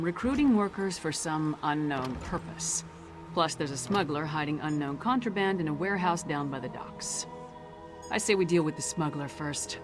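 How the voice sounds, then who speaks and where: A woman playing a blunt, loyal and confident Nord warrior in a video game, speaking with firm, dry self-assurance. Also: low-pitched. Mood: businesslike. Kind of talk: video game character dialogue.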